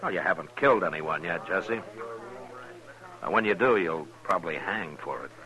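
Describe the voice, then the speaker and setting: A man's voice: sits low, animated, moderate pace, crowd noise, rough recording. An actor playing a terse, unflappable lawman in a 1950s radio western. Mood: grave warning. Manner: terse, level, commanding. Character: stern, unflappable frontier marshal, a grown man.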